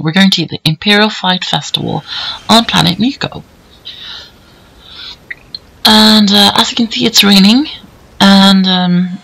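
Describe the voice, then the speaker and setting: A hoarse man giving casual, rambling commentary over gameplay, with plenty of fillers. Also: sits high, bright, forceful tone, low-quality recording. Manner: rambling, easygoing, many fillers. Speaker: let's play commentator.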